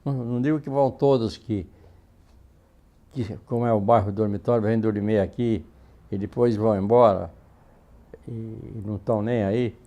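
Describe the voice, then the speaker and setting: A man's voice: on the low side, steady tone, normal speed, vocal fry, long pauses, mellow. A man chatting casually in a documentary interview. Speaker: local interviewee.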